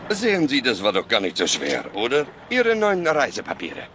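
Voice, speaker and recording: Very animated, slick forger. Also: male voice, medium pitch, moderate pace, background noise. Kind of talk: scripted video game dialogue.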